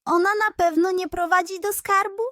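An excitable, pompous little animal mayor from a children's story, put on by a woman. The voice jumps high and proclaims everything with ceremony, on a dry, close recording. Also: normal speed, no room sound. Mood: astonished. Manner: exclamatory, proclaiming.

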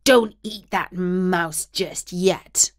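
A woman voicing a scruffy, clever male mutt dog in a storybook reading, speaking loudly and eagerly. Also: lowish voice, drawn-out words.

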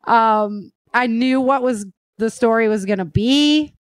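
A woman speaks as a podcast host at a slow, unhurried pace, in a close, dry recording. Her delivery is informal and slightly halting, and she leans on words for emphasis. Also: a little high, clean tone, no room sound. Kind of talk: casual podcast chat.

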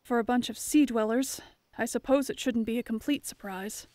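A woman's voice: fairly high pitch, normal speed, darkish tone, dry recording, natural voice. A woman playing a defiant, suspicious young island captive in a fantasy audio drama, curt and scornful.

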